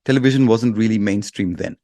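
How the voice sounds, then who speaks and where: A man with a fairly low voice explains his views persuasively. He stresses points by repeating and listing them, and the recording is dry and close. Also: a little fast, warm tone, no room sound. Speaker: guest podcasting expert.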